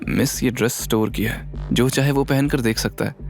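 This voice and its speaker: Audio drama sidekick: level-headed young female friend, read by a man, expressive, music bed. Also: medium pitch, moderate pace, lightly acted. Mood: reasoning. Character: sensible, supportive young woman, the heroine's friend.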